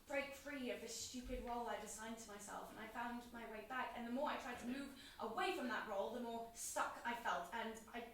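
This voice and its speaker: A woman playing a guilt-ridden, pleading estranged best friend in a naturalistic drama, earnest, halting and emotionally raw. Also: a little high, fairly bright tone, natural voice. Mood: confessional. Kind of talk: naturalistic two-hander drama dialogue. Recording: distant, very echoey room with faint noise.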